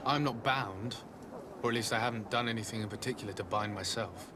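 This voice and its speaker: An actor playing a polite, measured investigating envoy in a fantasy video game, formal and composed. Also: male voice, fairly low pitch, noisy background, natural voice.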